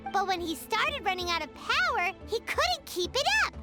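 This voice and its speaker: Super high squeaky little fairy sidekick, cheeky, all over the place, game music. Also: female voice, very animated, moderate pace. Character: tiny childlike female fairy companion, cheeky, blunt. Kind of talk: video game voice acting.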